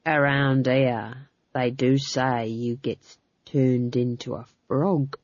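A woman voicing a leering, coarse male dungeon guard who speaks in rough dialect. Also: mid-range voice, little pitch movement, slow pace, no room sound, muffled recording, voice pushed down, lightly acted. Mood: leering, menacing. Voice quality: drawling, menacing, rough dialect.

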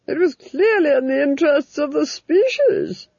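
Reader doing a cold ruthless duchess, high and swooping, slow, husky. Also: expressive, a little mellow, dry recording, highs cut off. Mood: contemptuous. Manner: stern, commanding, drawn-out words.

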